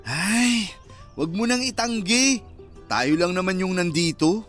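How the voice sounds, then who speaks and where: A man's voice: sits high, expressive, slow, a bit bright, faint music behind, heavily acted. An actor playing a cheeky young Filipino man, a sarcastic best friend who teases and sulks in a radio romance drama. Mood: teasing. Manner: sarcastic, teasing banter.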